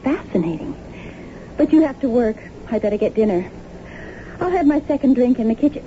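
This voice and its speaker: A woman's voice: fairly high pitch, lively intonation, a little fast, mellow, lightly acted. A woman acting in a radio drama as an anxious, bewildered young wife, speaking hesitantly and uneasily. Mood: bemused. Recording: tinny, narrow-band, noisy old radio broadcast.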